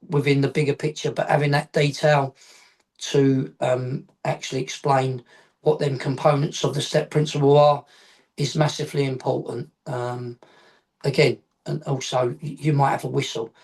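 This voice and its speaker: A man's voice: fairly high pitch, darkish tone. A middle-aged man, a football coach educator, explaining at length on a video call from his home office, wandering and adding afterthoughts. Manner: rambling, repeats points, adds afterthoughts. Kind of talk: casual explanatory chat.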